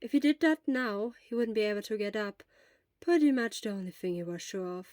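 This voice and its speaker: A woman reading a fan fiction story aloud as narrator, speaking softly and sometimes dropping to a whisper. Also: mid-range voice, animated, fairly quick, no echo, studio quality.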